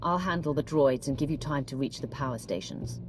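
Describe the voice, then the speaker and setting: A deep-voiced, stern dark warrior. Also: female voice, fairly quick, background noise. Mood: commanding. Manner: commanding, terse, confident. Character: stern, self-assured female dark warrior commander. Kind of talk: video game cutscene acting. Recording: music behind the voice.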